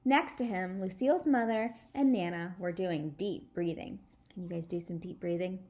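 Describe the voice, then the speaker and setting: A woman reading a children's storybook aloud in an animated, expressive style. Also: lowish voice, normal speed. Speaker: storybook reader.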